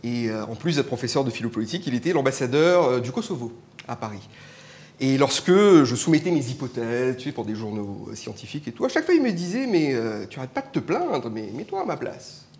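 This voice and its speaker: A man's voice: medium pitch, fairly quick. A man, a political scientist and politician from Mauritius, arguing his point emphatically in a live panel debate. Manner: argumentative, animated, emphatic. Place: indoor public event.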